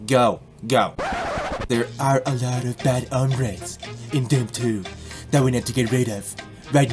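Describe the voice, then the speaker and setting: Playful Colombian man hosting a tutorial. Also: medium pitch. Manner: playful and jokey, slipping into put-on accents. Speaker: tutorial host. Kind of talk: casual screen-recorded software tutorial.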